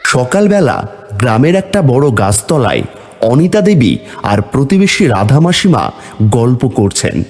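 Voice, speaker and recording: A man's voice: mid-range voice, big pitch swings, measured pace, darkish tone, soft background music. A man narrating a cartoon folk tale as a scripted storyteller.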